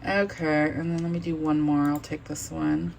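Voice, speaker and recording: A woman with a low voice talks through an embroidery design program one click at a time. Her delivery is chatty and unscripted, with lots of thinking aloud as she works. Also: flat delivery, moderate pace, gravelly, a little mellow, a little hiss or hum. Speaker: embroidery shop owner demonstrating software. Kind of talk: casual screen-recorded software tutorial.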